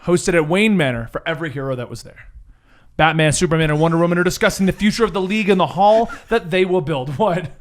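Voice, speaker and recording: A man's voice: a little high, fast talker. A man working as game master, narrating a superhero tabletop game like a dramatic, theatrical storyteller.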